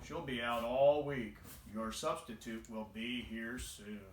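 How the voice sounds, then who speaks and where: Guy doing a serious school principal in a kids story, slow and grave, echoey room. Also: mid-range voice, a little hiss or hum, voice pushed down, natural voice. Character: school principal, grave man delivering bad news. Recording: echoey, roomy home recording.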